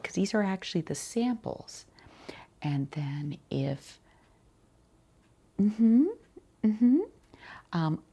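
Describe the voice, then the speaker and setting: A woman doing a quiet ASMR roleplay as a shopkeeper, speaking softly and breathily in an unhurried way, often slipping into a whisper. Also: on the low side, gravelly, slightly rough. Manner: unhurried, gentle pauses, murmured acknowledgements.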